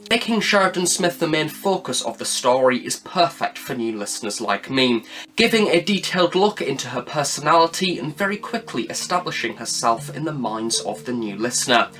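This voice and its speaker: A man's voice: medium pitch, very animated, normal speed, fairly bright tone. A man hosting a review channel, delivering an enthusiastic scripted review with chatty, emphatic, self-deprecating asides.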